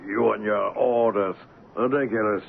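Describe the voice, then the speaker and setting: An actor playing a bitter, feverish assistant ivory trader, sarcastic, defiant and high-strung, in a 1970s radio drama. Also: male voice, steady tone, normal speed, gravelly. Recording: noisy, tinny 1970s radio broadcast.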